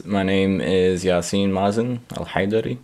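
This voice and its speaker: A young man from Iraq talks in a studio interview about his stand-up work. His voice sits fairly low, and his tone is thoughtful and unhurried. He chuckles now and then as he speaks. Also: darkish tone. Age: young adult. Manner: reflective, laughs as he talks. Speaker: stand-up comedian. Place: studio against a plain grey backdrop.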